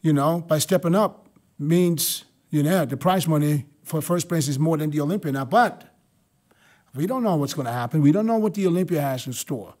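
A middle-aged man talking over a video call with a headset mic. His voice sits a bit high for a man. He presses his point over and over in loose, friendly chat. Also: animated, normal speed, a little mellow. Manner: insistent, repeats himself while explaining. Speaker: podcast host.

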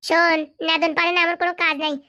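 A woman's voice: very high voice, completely flat delivery, pushed and bright, highs cut off. Woman voicing a cocky scheming village boy. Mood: bossy. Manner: bossy, fast-talking, wheedling. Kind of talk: comic cartoon voice acting.

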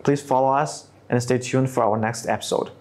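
Man presenting a scripted software tutorial. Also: medium pitch, steady tone, moderate pace, noisy background. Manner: non-native English phrasing, step-by-step instructional delivery.

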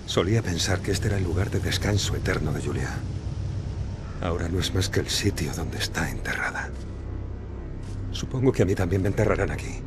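Low-voiced brooding ghost detective. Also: male voice. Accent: Castilian Spanish. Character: brooding male ghost detective, gruff but pleading. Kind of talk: video game cutscene acting. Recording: prominent music under the voice.

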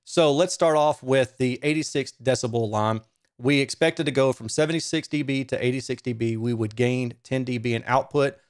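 Male tech reviewer, medium pitch, casual explainer delivery, conversational and methodical, close-miked and dry. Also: moderate pace, clear, resonant tone, no echo. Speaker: host, speaker reviewer. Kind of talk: casual technical explanation to camera. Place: small home studio room, indoors. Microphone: close studio microphone.

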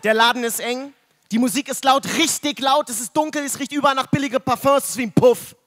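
A man's voice: extremely high pitch, fast, a bit bright, no echo. A man in his twenties reading a comic story aloud at a poetry slam. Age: 20s. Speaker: slam poet. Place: live stage in an indoor event hall. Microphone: mic on stand.

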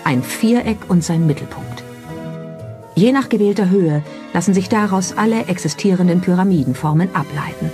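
Low-voiced woman narrating a documentary. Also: normal speed, a little mellow. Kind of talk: scripted narration. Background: music behind the voice.

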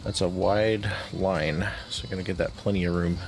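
A man leading a game raid on stream, calmly calling out mechanics and giving instructions. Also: low-pitched, normal speed, faint music behind. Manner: calm, instructive callouts with short laughs.

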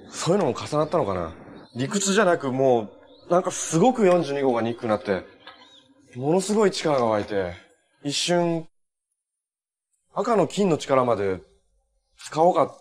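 A man's voice: mid-range voice, a little mellow. An actor playing a cheerful, earnest young male hero in a live-action TV superhero drama, speaking politely and expressively. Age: young adult.

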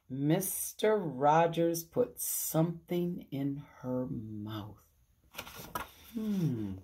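A middle-aged woman reading a bedtime story to children, expressively, with theatrical drawn-out emphasis and dramatic pauses. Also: fairly low pitch, a little mellow. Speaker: pastor reading children's stories. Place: small room at home, indoors. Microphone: phone at arm's length.

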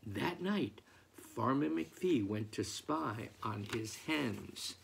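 A man's voice: mid-range voice, expressive, drawn-out words, vocal fry, husky. A man in his sixties, an author, reading aloud expressively at home. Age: 60s. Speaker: picture book author. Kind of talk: expressive picture book read-aloud and reading out letters. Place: book-lined room at home, indoors.

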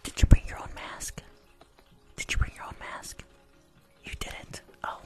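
A woman in her twenties doing ASMR roleplay close to the microphone, blunt and teasing, often repeating her phrases. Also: unhurried. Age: 20s. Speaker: ASMR performer. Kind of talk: whispered ASMR roleplay as a rude spa worker. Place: small room indoors at home. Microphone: close desktop condenser microphone.

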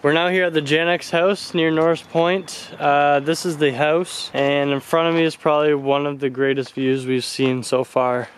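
A man's voice: fairly high pitch, level tone, moderate pace, hardly any pauses, clean tone. Upbeat young man vlogging outdoors. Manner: upbeat, chatty, talking to a handheld camera while hiking. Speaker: travel vlogger. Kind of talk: casual travel vlog narration. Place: outdoors on trails and coastal lookouts. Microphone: camera held at arm's length.

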